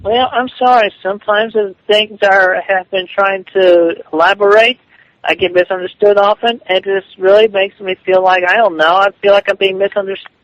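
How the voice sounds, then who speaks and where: A man speaking defensively on a phone call, halting and repeating himself. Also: sits high, steady tone, fairly bright tone. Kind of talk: phone conversation, answering challenges. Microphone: telephone call. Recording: dull lo-fi phone call recording.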